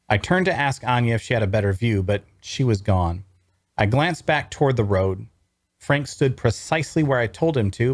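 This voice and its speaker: A man playing a wry young traveler, telling his fantasy adventure in measured, dramatic first-person narration at a table read. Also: fairly low pitch, darkish tone, no room sound, clean studio sound. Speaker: voice actor.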